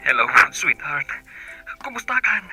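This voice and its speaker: A man's voice: high voice, very animated, unhurried, bright, forceful tone, faint music behind, exaggerated voice. An actor with an Ilocano accent playing a rescued young husband who reassures his sweetheart tenderly in a radio drama.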